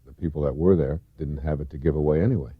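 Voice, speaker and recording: A man's voice: very deep voice, steady tone, quick pace, slightly rough, no echo. A man giving a reflective self-help lecture as a motivational speaker, earnest, with emphasis that swells and falls. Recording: dull lo-fi tape, close and dry.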